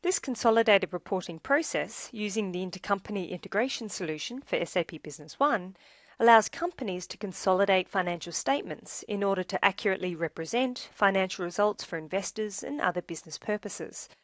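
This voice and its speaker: A woman presenting a software demo as a scripted tutorial narration, in a measured, instructional manner. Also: medium pitch, animated, no echo, studio quality.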